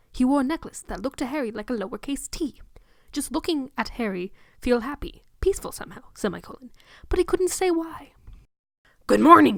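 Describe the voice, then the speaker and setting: A woman's voice: on the high side, no echo, studio quality. A woman narrating fan fiction in a mock-dramatic reading, pointedly voicing the punctuation. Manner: pointedly voices punctuation aloud.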